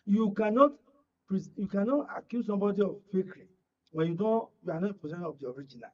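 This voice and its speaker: An older Nigerian-accented man with a high voice argues as a guest analyst. He is emphatic and leans on anecdotes and rhetorical questions. The broadcast sound is slightly muffled and lo-fi. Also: quick pace. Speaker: political analyst and panel guest. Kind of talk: panel discussion on current affairs. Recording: dull, lo-fi broadcast audio.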